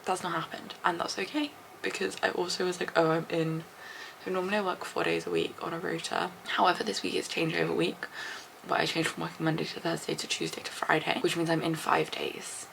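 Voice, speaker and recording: A young English woman vlogging casually to a handheld camera, chatty and rambling, her voice a little husky and deep, sometimes dropping to a soft whisper. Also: level tone, fairly quick, a little hiss or hum, low-quality recording. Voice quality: slightly deep, husky morning voice. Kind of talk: casual vlog chat to camera. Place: at home and outdoors after a run. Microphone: small handheld camera.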